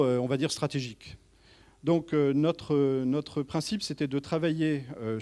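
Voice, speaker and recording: A man giving an informal professional presentation to colleagues as a manager, speaking in a halting way with frequent false starts. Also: a little high, darkish tone. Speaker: tourist office manager presenting.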